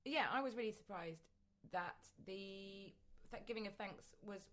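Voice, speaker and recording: A woman's voice: mid-range voice, a little slow, a bit bright. A woman co-hosting a podcast, chatting playfully with wordy, jokey turns of phrase. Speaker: podcast co-host. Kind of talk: casual chat.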